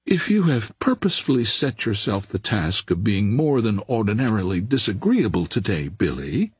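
A man's voice: medium pitch, wildly swooping pitch, moderate pace, darkish tone, husky, dry recording, studio quality, voice pushed up, exaggerated voice. A man voicing a petulant, easily alarmed young society woman in an audiobook. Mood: sweetly sarcastic. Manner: sweetly sarcastic, pleading, exclaiming.

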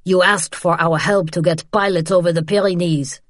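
Audiobook role: intimidating male Basque mountain guide, rough, blunt and growling played by a female narrator, dry recording. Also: on the low side, steady tone, normal speed, no echo, natural voice. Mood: menacing. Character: rough, menacing broad-shouldered male Basque smuggler guide.

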